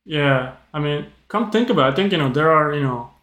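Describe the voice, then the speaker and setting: A man from Japan, hosting a casual chat podcast in English and speaking haltingly, with many fillers. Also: a little high, lively intonation, a bit bright. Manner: halting, leans heavily on "you know". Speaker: podcast host. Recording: roomy echo.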